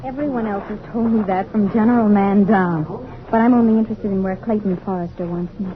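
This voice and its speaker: A woman's voice: mid-range voice, steady tone, a little fast, close to an everyday voice. Earnest young woman scientist, acted, tinny sound. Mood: eager. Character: eager, anxious young female scientist. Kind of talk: 1950s science fiction radio drama acting. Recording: tinny old radio recording, faint noise.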